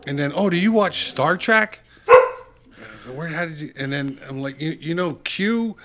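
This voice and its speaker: A man's voice: fairly high pitch, moderate pace, very noisy. A man chatting casually as a podcast guest, telling rambling anecdotes and acting out the dialogue as he goes. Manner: rambling, animated retelling with quoted dialogue.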